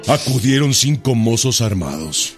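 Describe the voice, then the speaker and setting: An actor playing a male revolutionary recounting his outlaw youth in radio drama, solemn and deliberate. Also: mid-range voice, very animated, soft background music. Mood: grave, recounting. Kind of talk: dramatised first-person radio narration.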